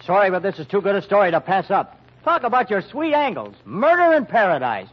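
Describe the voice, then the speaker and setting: A man's voice: high voice, very animated, brisk. An actor playing a breezy, slangy male newspaper reporter in an old radio drama style. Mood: brash. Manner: breezy, brash. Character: brash, slangy newspaper reporter chasing a story. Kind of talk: 1940s radio acting. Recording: dull lo-fi old radio recording.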